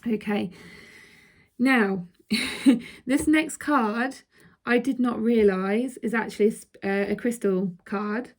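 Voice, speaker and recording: A woman with a croaky throat doing a casual card reading for her viewers. She speaks chatty and direct, often checking in with "okay?" and laughing between points. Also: on the high side, slow, long pauses. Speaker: intuitive card reader.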